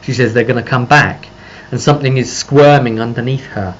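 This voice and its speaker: A man's voice: medium pitch, steady tone, brisk. Man rambling through a game recap, lo-fi recording. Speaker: tabletop game master recapping sessions. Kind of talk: informal spoken recap of a game session. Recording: dull lo-fi mic with faint background noise.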